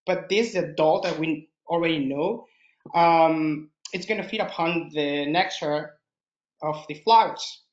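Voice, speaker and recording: A man's voice: a little high, a little slow. Man, Mexican accent, Spanish native, webinar presenter, filler-heavy loose English, roomy dull call audio. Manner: loose, slightly broken English with frequent fillers. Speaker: expedition leader presenting a webinar. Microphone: video call.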